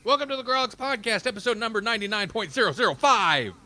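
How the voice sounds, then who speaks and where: A man's voice: high voice, animated, quick pace, heavy background noise. A man hosting a casual live-stream podcast, rambling along in a joking, self-deprecating way. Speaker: podcast host.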